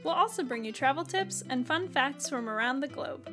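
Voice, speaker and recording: A woman's voice: sits high, lively intonation, normal speed, over music. A young woman with an American accent hosts a podcast, introducing topics and putting questions to a guest. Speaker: podcast co-host. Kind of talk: podcast hosting.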